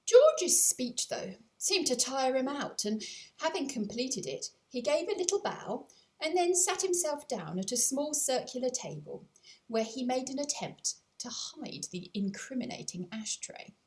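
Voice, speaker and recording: A woman narrating a scripted storybook as an audiobook, in an expressive storytelling manner. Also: high voice, measured pace. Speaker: author narrating her own audiobook.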